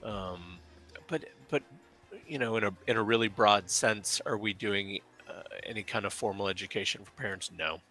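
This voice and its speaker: A man from Dallas speaking as a guest in a relaxed interview, halting and restarting his sentences. His voice is low yet bright and forceful. Faint music plays underneath. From Dallas, Texas. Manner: hesitant, many fillers and restarts. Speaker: guest, business owner. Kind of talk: casual interview chat.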